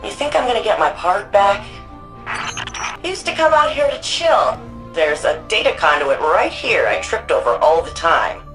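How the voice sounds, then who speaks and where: A woman's voice: mid-range voice, sing-song, normal speed, fairly bright tone, faint music behind, low-quality recording. An actress playing a wry, anxious woman archivist and resistance hacker in a sci-fi video game, speaking in a casual, conversational way. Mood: anxious, wistful. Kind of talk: video game mission dialogue.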